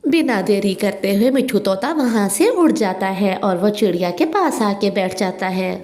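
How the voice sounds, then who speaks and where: A woman's voice: medium pitch, expressive, normal speed, a little mellow. A woman narrating a scripted children's story as its narrator, with a roomy echo.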